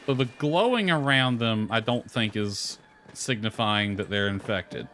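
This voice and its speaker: A man's voice: on the low side, unhurried, bright, forceful tone. Man muttering through game stream, noisy background. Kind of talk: casual live gameplay commentary, thinking aloud.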